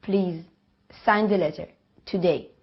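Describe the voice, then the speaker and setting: A nineteen-year-old woman, making an earnest, deliberate appeal to the camera. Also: on the low side, lo-fi sound. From Israel.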